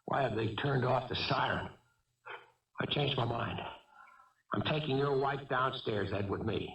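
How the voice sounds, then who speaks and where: An actor playing a wounded fugitive gunman in a 1970s radio drama, jittery, bitter and wistful, speaking in halting, agitated bursts. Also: medium pitch, a bit bright, natural voice. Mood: menacing, on edge. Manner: halting, agitated, repeating himself. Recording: dull, echoey vintage radio recording, distant mic.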